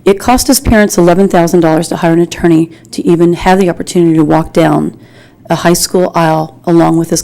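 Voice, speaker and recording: Mellow, earnest American woman speaking publicly. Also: fairly low pitch, creaky. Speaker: community member speaking in public comment at a school board meeting. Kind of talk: earnest, informal public address. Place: public meeting room.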